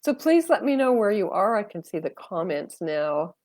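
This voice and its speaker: A woman's voice: medium pitch, sing-song, normal speed, darkish tone, no room sound, clean studio sound. An older woman from Canada, stuffed up with a cold, chatting casually and rambling with many fillers as she hosts a live astrology and tarot stream from her phone.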